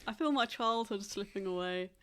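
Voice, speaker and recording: A woman's voice: medium pitch, normal speed, a bit bright. A woman co-hosting a podcast in casual chat with others in the same room. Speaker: podcast co-host. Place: indoors, sharing a room with co-hosts.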